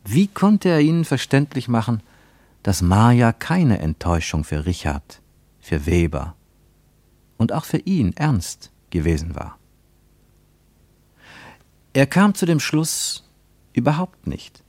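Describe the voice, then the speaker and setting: A man narrating a literary radio drama in a measured, deliberate way. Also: medium pitch, wildly swooping pitch, darkish tone, dry recording.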